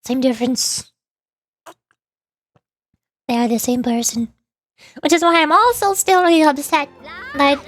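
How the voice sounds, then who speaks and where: A woman streamer chatting casually about a video game in a rambling, playfully exasperated way, often halting and repeating words. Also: sits high. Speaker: streamer, VTuber. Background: background music.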